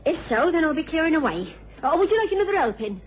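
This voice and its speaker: An actress playing a sensible, protective English innkeeper's daughter in a radio drama, firm and soothing. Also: female voice, sits high, sing-song, fast talker. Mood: firm, reassuring. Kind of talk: British radio drama acting. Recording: dull old radio recording, clear noise.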